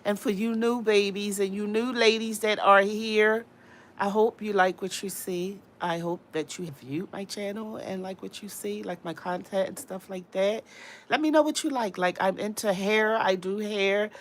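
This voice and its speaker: A woman's voice: medium pitch, fairly even intonation, normal speed. A woman with a cold and a congested voice, rambling in casual chat to her livestream viewers. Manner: rambling, talks straight to viewers. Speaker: livestream host.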